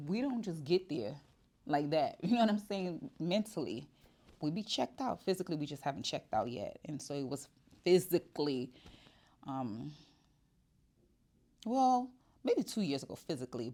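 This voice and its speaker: A woman's voice: sits low, darkish tone. A woman, talking candidly and casually to the camera in her shop, with many fillers and restarts. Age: 40s. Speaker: vlogger. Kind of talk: casual personal vlog.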